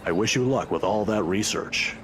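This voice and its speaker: A woman's voice: extremely low pitch, moderate pace, pitched below the performer's own voice. A woman voicing a kindly, avuncular old man in a visual novel, casual but guarded, with noise in the recording. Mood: breezy, avuncular. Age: older. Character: kindly old man, fatherly family acquaintance with secrets. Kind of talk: visual novel character read aloud.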